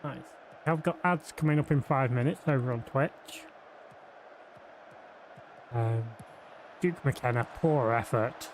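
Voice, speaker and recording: A man's voice: medium pitch, takes it slowly, long pauses, slight background noise. Casual man thinking aloud while gaming. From Yorkshire. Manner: casual, thinking aloud, frequent fillers and restarts. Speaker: streamer. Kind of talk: live game commentary and chat.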